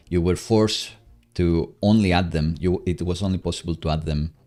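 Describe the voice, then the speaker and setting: Low-pitched man thinking aloud while live coding. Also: warm tone. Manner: halting, thinking aloud as he works.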